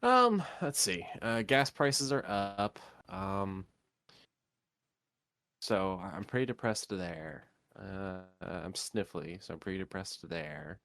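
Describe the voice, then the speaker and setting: A man's voice: sits low, big pitch swings, slow, creaky, fairly bright tone, dry recording, studio quality. A sniffly man co-hosting a casual podcast chat, rambling with lots of ums. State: sniffly, congested. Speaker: podcast co-host.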